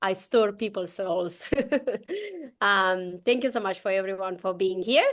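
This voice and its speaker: A woman with a Romanian accent hosts an online circle over a video call. Her pitch sits at a middle level, and her talk is bubbly and full of laughter. She trips over words and restarts often. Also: fairly even intonation, unhurried, a bit bright. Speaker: host. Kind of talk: casual online group welcome.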